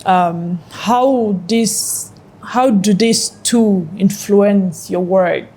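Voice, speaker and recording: A woman's voice: mid-range voice, very slow, warm tone, slight background noise, rough recording. A woman, hosting a conversational interview. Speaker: interview host.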